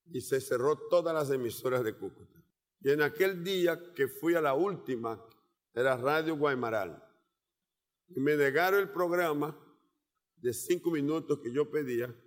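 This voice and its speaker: A man with a Portuguese accent delivers a sermon in a slightly raised voice, taking his time over each phrase. His words ring out with the long reverb of a large hall. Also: fairly high pitch. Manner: slow, deliberate preaching with measured pauses. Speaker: missionary preacher. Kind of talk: sermon with personal testimony.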